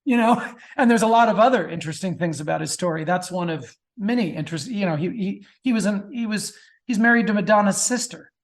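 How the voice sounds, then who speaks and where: A man's voice: sits high, fairly quick. A middle-aged man speaking casually as an interview guest, telling stories with chatty enthusiasm. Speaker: author and film producer, podcast guest. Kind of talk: casual interview talk about music.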